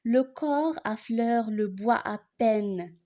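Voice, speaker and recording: A woman reciting a poem for learners in an expressive way, phrasing it deliberately line by line. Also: on the high side.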